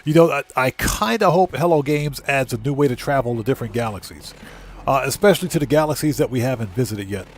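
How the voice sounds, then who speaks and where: A man livestreaming a game, chatting casually with viewers and thinking aloud in a halting, easygoing way. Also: medium pitch, expressive. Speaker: livestream host and gamer.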